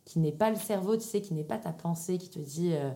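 Low, mellow Parisian woman, casual and hesitant. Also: fairly quick. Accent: Parisian French. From Paris region, France. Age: 30s. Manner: informal, hesitant, thinking aloud. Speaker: podcast guest, entrepreneur. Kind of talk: casual interview answers.

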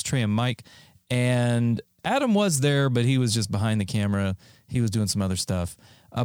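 A man's voice: mid-range voice, darkish tone, smooth, dry recording, clean studio sound. A young man from North Carolina hosting a podcast in casual chat, loose and rambling, swearing and laughing easily. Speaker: podcast host.

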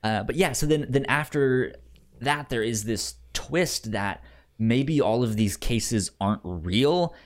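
A man's voice: medium pitch, lively intonation. A man co-hosting a film podcast in casual chat, stuttering over sounds and words and acting out lines he paraphrases. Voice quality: stutter, repeats sounds and words many times. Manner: halting, acts out paraphrased lines. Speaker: film review podcast co-host.

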